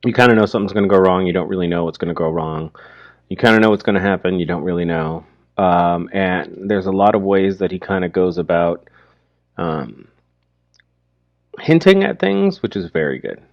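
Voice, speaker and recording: A man's voice: low-pitched, lively intonation, normal speed, creaky, no room sound. A man commenting informally on a short story, thinking aloud and asking rhetorical questions. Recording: dry, slightly dull lo-fi recording.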